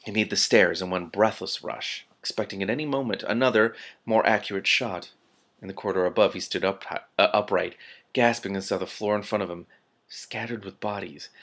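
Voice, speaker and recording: A man reading a story aloud in an animated, expressive way, correcting himself and adding his own commentary. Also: lowish voice, a little fast. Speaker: reader and commentator.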